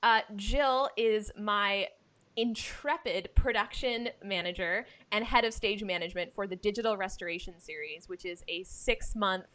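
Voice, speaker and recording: A woman's voice: mid-range voice, normal speed, a bit bright. A woman from the United States hosting an arts podcast in a casual, enthusiastic and effusive chatting style. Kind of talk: casual chat, introducing guests.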